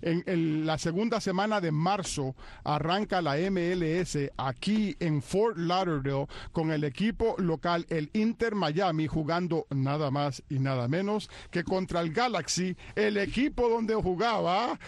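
A man's voice: a little high, measured pace, dry recording. Upbeat man hosting sports radio. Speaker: radio show host. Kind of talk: hosting a sports talk segment.

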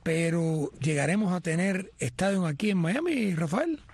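A man's voice: sits high, lively intonation, normal speed, darkish tone, breathy edge, no echo. A man co-hosting a radio sports show, chiming in eagerly and reading a segment. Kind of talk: radio talk show banter and scripted segment. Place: Miami radio studio.

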